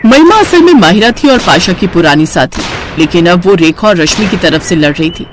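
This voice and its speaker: A woman's voice: fairly low pitch, animated, a little fast, noisy background. A woman reading a scripted story as its narrator.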